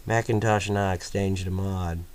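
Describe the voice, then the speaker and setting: A man's voice: deep voice, fairly even intonation, a little slow, slight background noise. A man reading a fanfic story aloud casually, tripping over words and chatting in asides. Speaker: fanfiction reader.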